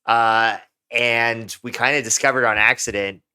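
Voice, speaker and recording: A young man from America talking loosely on a podcast, his clear voice bright and forward. He rambles through stories, leaning on filler words as he goes. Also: mid-range voice, steady tone, unhurried. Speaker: podcast co-host. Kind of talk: casual chat.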